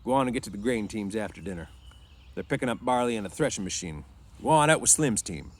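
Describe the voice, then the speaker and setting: Ranch boss for storybook, read by a man: gruff, domineering, mistrustful interrogator, slangy, very varied intonation. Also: lowish voice, expressive, fast talker. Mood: stern warning.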